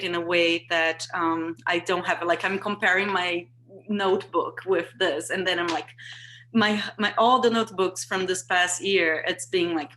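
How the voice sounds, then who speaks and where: A woman's voice: lowish voice, a bit bright, a little hiss or hum, rough recording. A woman in her thirties whose first language is Portuguese, talking casually on a video call and often restarting as she searches for words. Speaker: workshop participant. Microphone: video call microphone.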